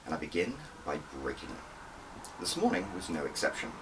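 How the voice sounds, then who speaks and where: A man's voice: extremely low pitch, wildly swooping pitch, fairly quick, gravelly, recorded from a distance, background noise. A man reading his own story aloud as an audiobook, with a wry, conversational manner and dramatic emphasis. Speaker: author reading own book. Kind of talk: first-person audiobook narration.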